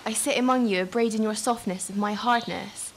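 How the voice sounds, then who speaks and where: A woman's voice: mid-range voice, fairly quick, slight background noise, lightly acted, low-quality recording. An actress as a blunt, earthy middle-aged woman. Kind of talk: literary radio drama acting.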